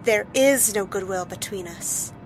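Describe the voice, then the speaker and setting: A woman's voice: medium pitch, music in the background, natural voice, poor audio. A woman voicing a guarded, defiant young female elf who speaks sharply and with suspicion, in a fan audio drama. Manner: sharp and suspicious, quick to threaten.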